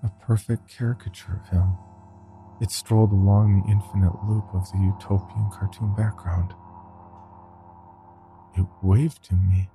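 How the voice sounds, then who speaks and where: A man reading a scripted first-person horror story in a slow, deliberate, somber way. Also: fairly low pitch, little pitch movement, vocal fry, dark tone, faint music behind.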